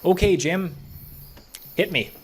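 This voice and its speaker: A man's voice: sits high, slow, slight background noise. Man acting a dry, skeptical lawman. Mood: wry, dismissive. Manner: dry, dismissive. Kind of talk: audio horror drama acting.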